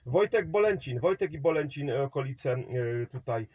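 A man's voice: medium pitch, animated, normal speed, husky, no room sound, lo-fi sound. A man working a CB radio contact, rambling about his trailer setup, giving signal reports and handing over the microphone. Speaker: CB radio operator.

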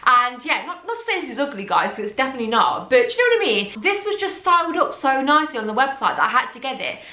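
A woman's voice: high voice, sing-song, fast talker, pushed and bright, roomy sound. A young woman in her twenties chatting to viewers about clothes she bought, her voice a little worn from talking. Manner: chatty, addresses viewers as guys. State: slightly losing her voice. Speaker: fashion vlogger. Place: bedroom, seated on a bed.

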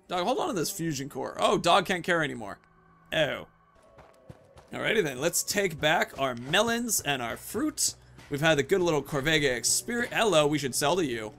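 A man in his thirties hosts a gameplay video in a slightly high, noticeably creaky voice. He talks casually and rambles, thinking aloud with muttered asides. Faint music plays behind him. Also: fairly bright tone. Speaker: video game streamer and host.

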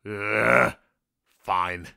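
A man's voice: lowish voice, fairly even intonation, very slow, vocal fry, bright, forceful tone, clean tone, dry recording, clean studio sound, heavily acted. A man playing a stressed, stubborn cartoon echidna with a blunt, defensive manner in a fan audio drama.

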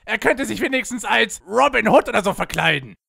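Casting: man as excitable, protesting comedy guy, gravelly and forceful, lively pitch. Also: fairly quick, full character voice. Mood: indignant. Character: indignant, excitable men in comedy sketch. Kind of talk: German comedy sketch fandub.

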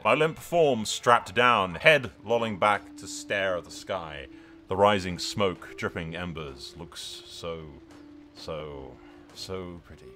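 A man's voice: on the low side, bright, forceful tone. A man hosting a gaming video, mixing dramatic role-play storytelling with sweary, casual strategy game commentary, in a theatrical manner.

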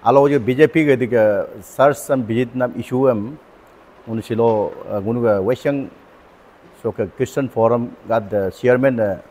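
Halting Arunachali man, mid-pitched voice. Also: mellow. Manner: halting, mixes languages mid-sentence. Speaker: local council member. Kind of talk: press statement. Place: open-sided covered community hall. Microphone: lapel microphone.

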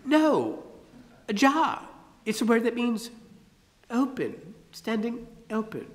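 A narrator putting on a bright, earnest storybook girl, clever and proud, pitched well above plain narration. Her words rise and fall widely, under a long hall reverb. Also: very animated, slow pace. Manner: earnest, musing.

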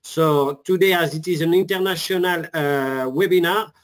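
French guy giving a webinar, kinda high voice, lots of uhs. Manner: halting, many fillers. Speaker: webinar presenter. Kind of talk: live webinar presentation.

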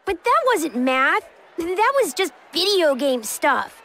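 A performer playing an excitable, grumbling young schoolboy gamer in a cartoon video game, with a whiny drawl. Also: high-pitched, slow pace, heavily acted. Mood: hesitant.

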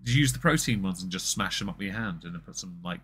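Man, thirties, deep voice, sarcastic stream commentary, faint hiss. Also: animated, fast, bright, forceful tone. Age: 30s. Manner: sarcastic, mocking asides. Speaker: stream host reacting to a video. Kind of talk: commentary over a video.

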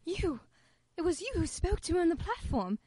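A woman playing a fearful, bewildered young widow in an old-time radio play, speaking in a halting, dramatic way. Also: extremely high pitch, very animated, warm tone, dry recording, studio quality. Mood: disbelieving, distraught.

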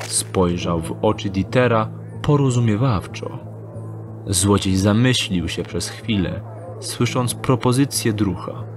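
A man narrating an audio drama in a steady, descriptive storytelling manner. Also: fairly low pitch, slow pace. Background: music.

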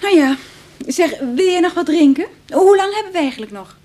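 A woman playing a devoted, teasing girlfriend in a radio drama, speaking in an expressive, familiar way. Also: very high voice, normal speed. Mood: lightly curious. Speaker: actress in a radio play. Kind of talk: radio drama acting, intimate dialogue.

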